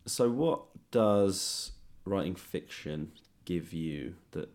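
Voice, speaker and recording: A man speaks in a low register as a conversational podcast host. He feels his way into questions, thinking aloud. The delivery is casual and tentative. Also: expressive, slow, darkish tone. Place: guest's home, indoors.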